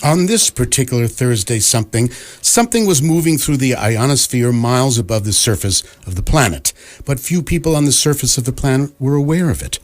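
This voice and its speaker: A man playing the wry, deadpan narrator of a science fiction radio comedy, reading scripted narration in a measured way. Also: medium pitch, close to an everyday voice. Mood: dry, informative.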